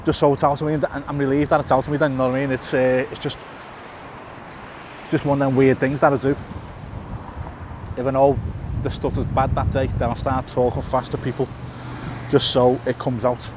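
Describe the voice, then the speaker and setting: A man's voice: mid-range voice, flat delivery, fairly quick, pauses often, noisy background. A middle-aged man, a lisp and a stutter, talking casually to camera on a walk outdoors. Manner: stutters, repeats and restarts words, fillers. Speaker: YouTuber. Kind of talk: casual walk-and-talk vlog. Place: outdoors in a town, by a tunnel.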